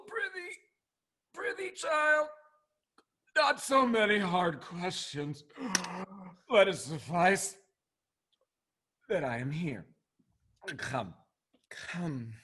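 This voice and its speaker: A man's voice: high-pitched, lively intonation, extremely slow, pauses often, pushed and bright, slightly rough, heavily acted. A man acting a drunken, lecherous rake in a period stage comedy, rambling and wheedling. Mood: wheedling, amorous. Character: drunken, lecherous rake, male, wandering at night.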